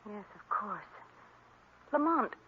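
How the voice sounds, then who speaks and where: A woman's voice: medium pitch, lively intonation, slow. Inquisitive lady companion, eager and concerned, thin, faintly noisy vintage radio. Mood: curious. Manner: eager, questioning. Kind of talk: 1940s radio acting. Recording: noisy, tinny 1940s radio recording.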